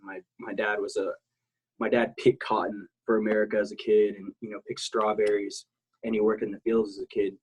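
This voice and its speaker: A man's voice: on the low side, level tone, a little fast, a little mellow, slightly rough, low-quality recording. A man in his thirties from South Texas, a military veteran talking as an interview guest, rambling and blunt, with many restarts and fillers. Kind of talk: casual interview talk.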